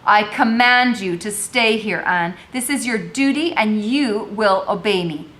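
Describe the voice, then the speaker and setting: A woman's voice: mid-range voice, a little slow, a bit bright, close to an everyday voice. A woman voicing a domineering, hunted colonial husband, urgent and commanding, in a read-aloud of a historical novel.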